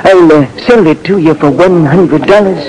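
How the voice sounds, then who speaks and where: Male actor's bearded magician, raised pitch, smooth showman, sales patter, old radio drama. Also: moderate pace, a crowd in the background, close to an everyday voice, low-quality recording. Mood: showy salesmanship. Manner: patter-like demonstrator's delivery.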